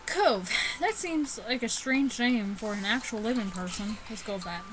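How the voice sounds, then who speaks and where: High, lively woman doing casual game commentary. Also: normal speed, background noise. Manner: sweary, giggly, stumbles while reading. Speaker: gaming YouTuber. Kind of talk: casual let's play commentary and read-aloud of a visual novel. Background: music behind the voice.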